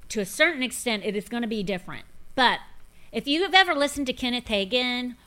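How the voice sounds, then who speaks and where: A woman's voice: a little high, animated, a little fast, bright, forceful tone. A woman in her fifties, a pastor hosting a casual faith podcast, talking in a forthright, emphatic, preachy way. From grew up in Pentecostal churches. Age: 50s.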